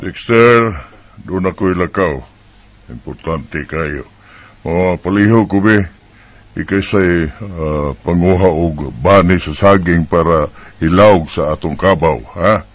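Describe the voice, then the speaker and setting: A man's voice: deep voice, no room sound. A man playing a rural farmer father who gives careful instructions, in a Bisaya radio drama with a Cebuano accent. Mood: matter-of-fact, cautioning. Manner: unhurried instructions, ends with checking tags.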